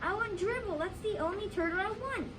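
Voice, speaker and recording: A woman reading the part of an upset, stubborn young boy in a school readers theater, recorded at a distance with loud noise. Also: very high-pitched, fairly quick, pitched above the performer's own voice. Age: child or young. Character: upset, stubborn young boy who lost his pet turtle.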